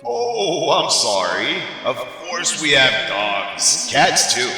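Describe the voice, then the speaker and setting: A man playing a genial, jovial God in a radio play, speaking in a grand, drawn-out, theatrical way. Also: medium pitch, big pitch swings. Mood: welcoming, jovial. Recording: big reverberant hall effect, music behind.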